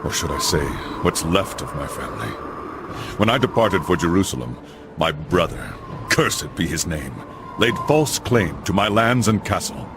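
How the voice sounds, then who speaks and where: An actor playing a solemn middle-aged knight, a father narrating his family's saga in a grave, measured storyteller's manner for a game. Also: low voice, expressive, background noise. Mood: solemn, brooding. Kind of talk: dramatic scripted narration.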